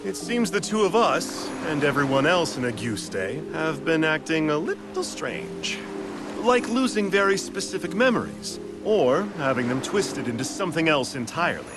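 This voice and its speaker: Polite, composed gentleman adventurer for a game, lightish pitch with expressive swings, music behind. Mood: pensive. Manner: polite, measured. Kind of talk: video game voice acting. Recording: music under the voice.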